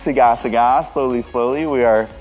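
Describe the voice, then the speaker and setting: A man's voice: mid-range voice, moderate pace, dull audio, soft background music. A man from the United States chatting casually in a travel vlog, talking easily and conversationally, recorded outdoors at a harbor. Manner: easygoing, conversational, loose with fillers. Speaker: vlogger.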